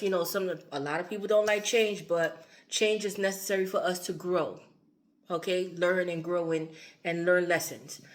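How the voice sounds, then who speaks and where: A woman giving a loose, rambling tarot reading, her voice a little strained as she starts to lose it. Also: fairly low pitch. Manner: loose and repetitive, fillers and asides. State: losing her voice. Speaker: tarot reader.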